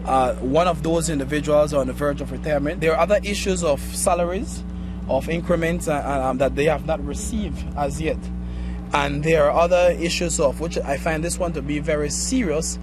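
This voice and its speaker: A man making a statement in a news interview, with careful, measured phrasing. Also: on the high side. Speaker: association president, interviewee.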